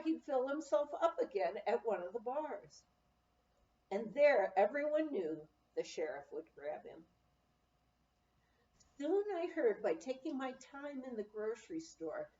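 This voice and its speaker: A woman reading a memoir aloud as narration, in a measured storytelling way with dramatic emphasis. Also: on the high side, mellow. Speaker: audiobook reader. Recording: roomy, slightly dull home recording.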